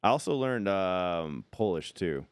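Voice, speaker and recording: A man co-hosting a casual podcast, joking around and counting down a music chart in an animated way. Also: sits low, unhurried, smooth, dry recording. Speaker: podcast co-host.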